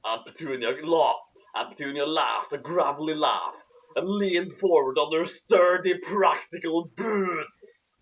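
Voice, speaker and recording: A man's voice: fairly high pitch, unhurried, highs cut off. A man reading a story aloud in a comic, dramatic way and commenting on it. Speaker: narrator and commentator.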